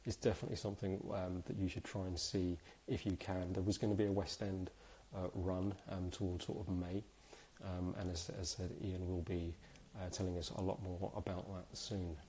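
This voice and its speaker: Hoarse, upbeat man hosting a live stream. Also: low-pitched, level tone, moderate pace, hardly any pauses, warm tone, slight background noise, rough recording. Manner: chatty, upbeat, many fillers. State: hoarse from a cold. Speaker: host. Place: indoors, small room.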